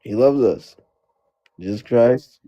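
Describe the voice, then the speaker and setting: A man's voice: mid-range voice, slow, dull audio. A man hosting a casual debate chat, joking and rambling with lots of repetition.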